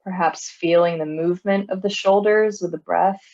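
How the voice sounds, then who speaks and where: A woman leading a guided body scan meditation in a calm, soothing manner, leaving long pauses between phrases. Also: on the low side, lo-fi sound. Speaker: meditation teacher.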